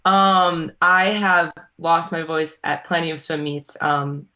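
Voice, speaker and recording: A woman's voice: sits low, fairly even intonation, slow pace, bright, forceful tone. A young woman from Long Island with a subtle Long Island accent, chatting as a college swimmer guest, with plenty of ums and likes. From Long Island, New York. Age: young adult. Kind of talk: casual interview answers.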